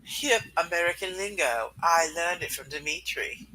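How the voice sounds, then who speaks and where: A man's voice: fairly high pitch, animated, moderate pace, sharp tone, rough recording. A man in casual banter and amateur comic book reading. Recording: faint background noise.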